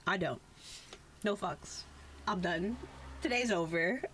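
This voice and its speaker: A young adult woman chatting casually on a livestream, rambling in an animated way and swearing freely. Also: lowish voice, slow pace, a little hiss or hum, rough recording. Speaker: VTuber live streamer. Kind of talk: casual chat on a game livestream.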